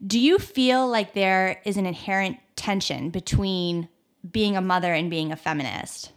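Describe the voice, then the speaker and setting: A woman hosts a podcast interview in a conversational, earnest way, with "I mean" sprinkled through her sentences. Her voice sits at an ordinary middle pitch. The sound is dry and close. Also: moderate pace, dry recording. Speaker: interviewer and host.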